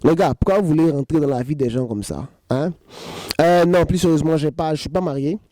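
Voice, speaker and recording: A man in his thirties, a choreographer, answering interview questions in a relaxed, joking way and saying "voilà" often. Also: a little high, a little fast, dry recording, studio quality. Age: 30s.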